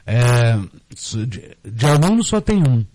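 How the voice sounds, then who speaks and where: Casting: middle-aged Brazilian-accented man, mid-pitched, halting conversational radio guest, dry close recording. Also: sing-song, a little mellow, dry recording. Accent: Brazilian Portuguese. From Brazil, born in Rio de Janeiro, raised in Recife. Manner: halting, repeats words while explaining. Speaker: guest, storyteller and theatre group director. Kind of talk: casual radio interview, explaining.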